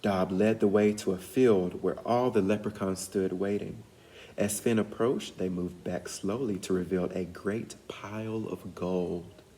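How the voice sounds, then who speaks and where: A man reading a storybook aloud as an educator, pausing to put guiding questions to young listeners. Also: deep voice, steady tone, dark tone, low-quality recording.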